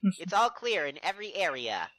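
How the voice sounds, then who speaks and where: A man voicing a soulless, obedient undead pony guard who gives loud, dutiful military replies in a fan-fiction audio reading. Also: high voice, very animated, moderate pace, no room sound, pitched above the performer's own voice, full character voice, poor audio.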